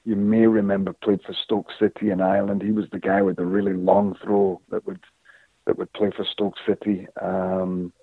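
Interview guest, male, from Scotland, low-pitched, hesitant, heard over a dry phone line. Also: no room sound. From Scotland, raised in northern England. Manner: hesitant, many fillers and restarts. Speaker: guest, former football player. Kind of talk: casual interview, storytelling.

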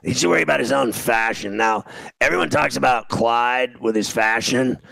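A man in his fifties with a gravelly, fry-laden voice, talking as a show host. He rambles in a casual, exasperated way, poking fun at himself with slangy griping. The sound is close and very dry. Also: mid-range voice, moderate pace, bright, forceful tone, no room sound. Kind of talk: casual rambling comic rant.

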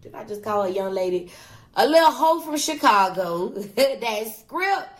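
A woman's voice: mid-range voice, big pitch swings, unhurried. A woman chatting in a casual, sassy and playful way, telling a story. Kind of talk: casual comedic chat.

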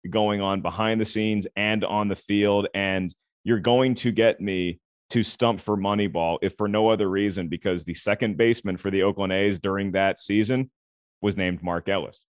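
A man with a deep voice, a film critic chatting as a podcast guest and telling relaxed stories. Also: moderate pace, dry recording. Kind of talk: podcast conversation.

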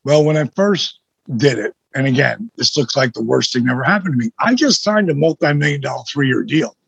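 A man in his sixties with a husky voice, a guest telling his story in a blunt, emphatic way with some swearing. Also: mid-range voice, brisk, a bit bright, no room sound, lo-fi sound. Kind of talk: casual storytelling in an interview.

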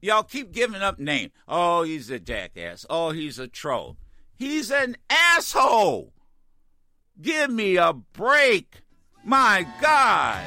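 Radio host guy ranting, slow drawn-out delivery, dry close studio sound, voice going a bit worn. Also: high voice, no room sound. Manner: animated, exasperated, many stammered restarts. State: losing his voice. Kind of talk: opinionated talk radio rant.

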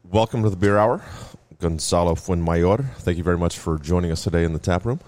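Very deep-voiced male podcast host. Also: a little fast, a little mellow. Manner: brief affirmations, friendly wrap-ups. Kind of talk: casual interview. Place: brewery taproom.

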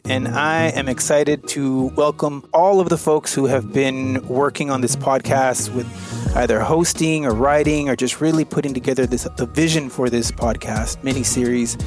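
A man hosts a relaxed podcast roundtable, talking in a loose, chatty way. His voice sits at a middle pitch. Faint music plays softly underneath. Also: normal speed. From United States West Coast. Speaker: podcast host.

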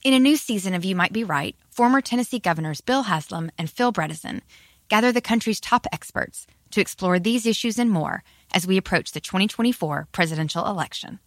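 A woman's voice: medium pitch, expressive, brisk, no room sound. Woman reading a polished promo. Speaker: ad announcer. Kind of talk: scripted ad read.

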